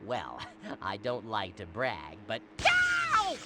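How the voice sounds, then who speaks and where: A man's voice: on the low side, wildly swooping pitch, heavy background noise, heavily acted. A man voicing a smug, scheming sea cucumber in a cartoon video game, with a self-satisfied, pained manner. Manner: slow, self-satisfied, broken by yelps.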